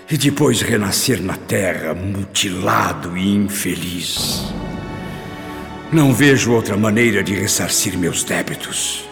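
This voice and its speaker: A man's voice: lowish voice, gravelly, a bit bright, exaggerated voice. An actor playing an anguished, repentant middle-aged father with a Brazilian accent, in a solemn, pleading radio drama monologue. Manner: slow, solemn, pleading delivery. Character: anguished repentant father, guilt-ridden former brute. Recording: long reverb with music behind. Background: music.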